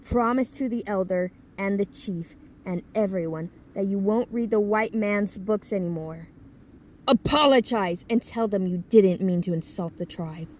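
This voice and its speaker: A woman's voice: mid-range voice, a little slow, darkish tone, slight background noise, lightly acted. A woman voicing a firm, protective older sister from a tribe, insistent and scolding, in a manga dub. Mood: firm, reproachful.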